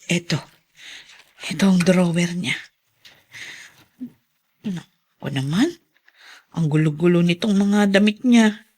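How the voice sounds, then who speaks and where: An actress playing an upright, long-suffering middle-aged mother with failing eyesight, emotive and emphatic in a radio drama style. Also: fairly low pitch. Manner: emotive, emphatic, moralizing delivery. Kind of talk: Filipino radio drama acting.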